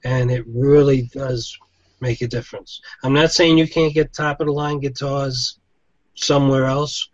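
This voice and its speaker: A man in casual chat about guitars, speaking in a measured, agreeable way. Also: mid-range voice, fairly even intonation, dry recording, muffled recording.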